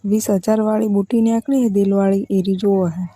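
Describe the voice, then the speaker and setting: Female actor as insistent wheedling village woman, Gujarati accent, muted dark tone, dry sound, casual phone chat. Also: mid-range voice, fairly even intonation, moderate pace, breathy edge, no room sound, natural voice. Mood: coaxing, stubborn. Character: demanding, wheedling village in-law woman wanting costly gifts. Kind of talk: staged casual phone conversation.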